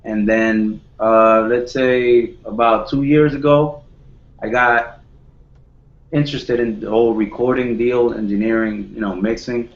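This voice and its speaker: A man in his twenties from the Dominican Republic, a home studio producer chatting as a guest, modest and halting with many restarts. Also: medium pitch, fairly even intonation, roomy sound, lo-fi sound. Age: 20s. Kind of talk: casual video call chat.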